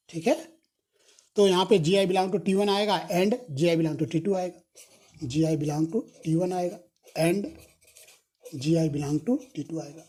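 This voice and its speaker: A woman lecturing on mathematics, slowly dictating each proof step and repeating key phrases, correcting herself when she slips. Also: fairly low pitch, mellow, husky. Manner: slow, repetitive dictation of proof steps; self-correcting, apologetic on slips. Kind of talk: recorded university lecture, mixing Hindi and English.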